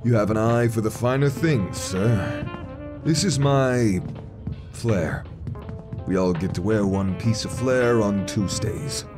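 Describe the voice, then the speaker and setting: Man playing a soft sensuous elf wizard attendant. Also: lowish voice, slow, over music. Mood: sly. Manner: sly, suggestive. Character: smug male elf wizard train attendant. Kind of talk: comic dub voice acting.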